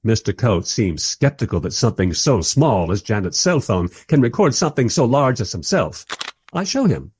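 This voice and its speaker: An actor playing a mild, polite time-travelling interviewer who narrates his story wryly in a radio play, with a husky voice. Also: mid-range voice, very animated, moderate pace, a little mellow. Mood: curious. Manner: wry, polite. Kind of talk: first-person radio play narration and dialogue.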